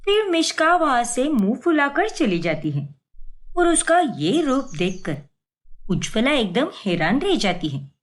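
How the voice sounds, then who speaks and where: A woman's voice: sits high, very animated, unhurried. A woman reading scripted narration for a moral story as its narrator.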